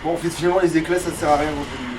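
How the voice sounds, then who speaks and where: Higher-voiced man chatting casually, slightly distant mic. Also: very fast. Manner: informal, swears often, thinking aloud. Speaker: let's play gamer playing the game. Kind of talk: casual gaming chat, commentary while playing. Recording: distant mic with faint background noise.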